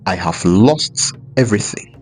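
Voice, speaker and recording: A man voicing a frail, ashamed old beggar in a storybook tale, pleading and halting. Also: lowish voice, animated, faint music behind, voice pushed down. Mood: weeping plea. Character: frail, starving, ashamed old male beggar, once proud. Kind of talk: storybook character voice.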